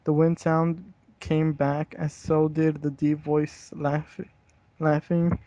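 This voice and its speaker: A young man with a slightly high, evenly pitched voice and a mellow edge. He reads a horror story like an amateur, stumbling over words, and talks in casual slang. The recording is dull and lo-fi. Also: flat delivery, slow pace, no echo. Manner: stumbles over words while reading, casual slangy swearing when chatting. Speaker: reader of a scary internet story.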